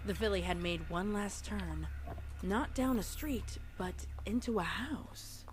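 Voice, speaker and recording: A woman's voice: mid-range voice, measured pace. A woman reading fan fiction aloud as a storyteller, with casual reaction asides. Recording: faint background noise.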